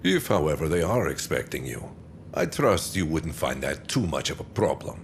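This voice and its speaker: Stern game villain commander, really low voice, slow deliberate menace, bit of noise behind. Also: male voice, sing-song, exaggerated voice. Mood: cold, measured menace. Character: stern, calculating male military commander of an invading faction. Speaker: military commander in a game cutscene. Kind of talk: dramatic scripted game briefing.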